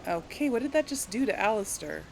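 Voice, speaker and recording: Let's play host: female, average pitch, casual and musing, slight background noise from the game. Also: sing-song, a little fast, creaky. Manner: musing, thinking aloud.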